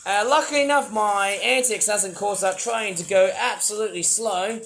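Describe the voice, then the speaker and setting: A man reviewing toys in a rambling, playful vlog, his voice not fully well and breaking into sudden excited outbursts. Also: high-pitched, normal speed, rough recording. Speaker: toy reviewer. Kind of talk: casual toy review vlog.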